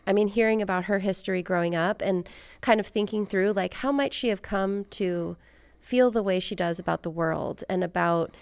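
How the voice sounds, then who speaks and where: Clear-voiced woman interviewer with creaky edges. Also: mid-range voice, moderate pace, dry recording. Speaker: podcast host, interviewer. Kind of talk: casual reflective interview conversation.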